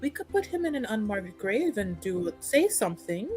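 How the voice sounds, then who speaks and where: A woman's voice: mid-range voice, big pitch swings, normal speed, a little mellow. A woman playing a tabletop roleplaying game, improvising dialogue and actions in a casual, hesitant way over background music. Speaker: tabletop RPG player. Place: online streamed game session.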